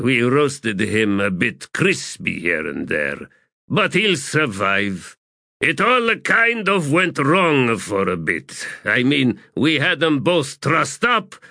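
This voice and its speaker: A man's voice: medium pitch, vocal fry, sharp tone, dry recording, studio quality, pitched above the performer's own voice, full character voice. A man voicing a babbling, callous soldier shaman in an audiobook, with folksy bad grammar. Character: slovenly, chatty male soldier shaman, cheerfully callous.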